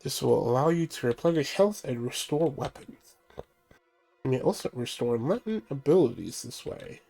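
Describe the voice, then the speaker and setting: A man reading out a stern male ship computer giving mission orders, measured and plain, his voice stuffy from a cold. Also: mid-range voice, sing-song, gravelly, warm tone, husky, faint music behind, heavily acted, poor audio. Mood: briefing. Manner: reads briefing text aloud, measured.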